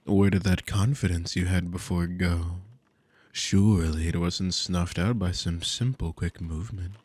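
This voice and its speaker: A man's voice: low-pitched, steady tone, a little slow, darkish tone, slightly rough, dry recording. A man playing a dominant, possessive demon in an ASMR roleplay, mockingly amused and condescending, with teasing, formal, theatrical speech. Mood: mocking, menacing. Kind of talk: ASMR audio roleplay spoken to the listener.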